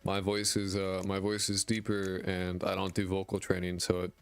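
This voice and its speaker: A man streaming after twelve hours, his raspy voice worn out, who mumbles and rambles casually with lots of fillers and swearing. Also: low-pitched, monotone, normal speed, no echo, soft background music. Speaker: indie game dev streamer. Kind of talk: casual live-stream chat reading viewer messages. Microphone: desk mic with a high-pass filter.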